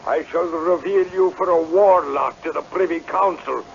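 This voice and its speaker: An actor playing an imperious, crafty old laird in a radio drama, with a theatrical, blustering manner. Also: male voice, fairly high pitch, moderate pace, gravelly. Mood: furious. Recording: dull, hissy vintage radio broadcast.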